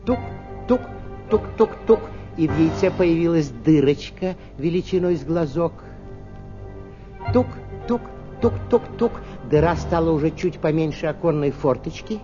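A man narrating a children's fairy tale, with expressive, deliberate phrasing and pauses. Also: fairly high pitch, muffled recording, over music. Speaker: storyteller.

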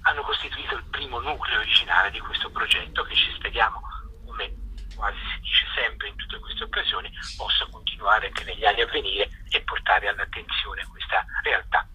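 A man speaking as an association spokesman in an informal interview, explaining a project and pausing to search for words. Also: on the low side, pushed and bright, slight background noise, rough recording. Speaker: spokesman of a jazz festival association being interviewed.

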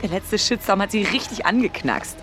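An actress in a German radio drama playing a ruthless, excitable young woman who schemes and makes demands. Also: mid-range voice, moderate pace, lightly acted. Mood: gloating, conspiratorial. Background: music behind the voice.

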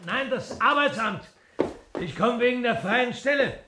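A man's voice: very high voice, expressive, a little slow, noisy background. An actor playing a polite, timid middle-aged male clerk looking for work in a radio play, courteous, deferential and a little hesitant. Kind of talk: radio drama acting.

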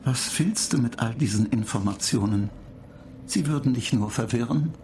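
Radio drama: male actor as a dryly superior machine intelligence, clinical, measured, middle-register voice over clear background noise. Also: medium pitch, darkish tone, lightly acted. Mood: analytical. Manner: matter-of-fact, clinical, measured. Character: calm, pedantic male computer data agent, dryly superior. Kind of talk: radio play acting. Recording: clear noise bed behind the voice.

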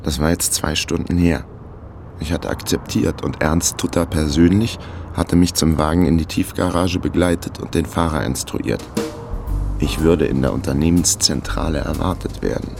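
Very deep, gravelly, deadpan crime narrator. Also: male voice. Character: laconic male private fixer narrating his case. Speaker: narrator and protagonist. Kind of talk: first-person radio crime drama narration.

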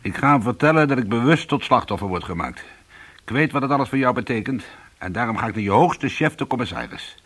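Husky low-voiced ex-con in a radio play, worn out and defensive, voice moves around a lot. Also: male voice, expressive, fast, fairly bright tone. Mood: earnest. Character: weary ex-convict, husband and brother, defensive yet soothing. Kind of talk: radio drama acting and first-person narration.

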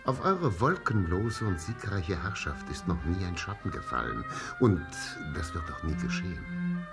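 An actor playing a scheming archbishop in a radio play, deferential, grave and insinuating. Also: male voice, sits low, wildly swooping pitch, a little slow, over music, exaggerated voice. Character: unctuous, scheming male archbishop. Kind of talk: German radio play acting.

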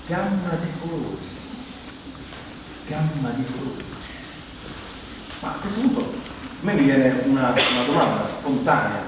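A man performing a humorous theatrical monologue to an audience, speaking emphatically with deliberate pauses. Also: fairly high pitch. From Rome, Italy. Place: indoor theatre stage, live performance. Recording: distant, noisy, very echoey theatre hall.

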